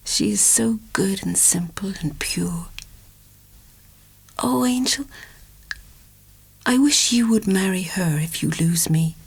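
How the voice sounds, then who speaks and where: A man voicing a tender, weary young country woman in a soft, breathy falsetto murmur, for a dramatic audiobook. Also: takes it slowly, pauses often, full character voice, low-quality recording. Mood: earnest pleading.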